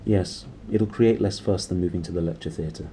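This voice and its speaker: A man's voice: sits low, moderate pace, warm tone, slight background noise. A man voicing a commanding, aloof aristocratic explorer in a storybook, speaking curtly. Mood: businesslike.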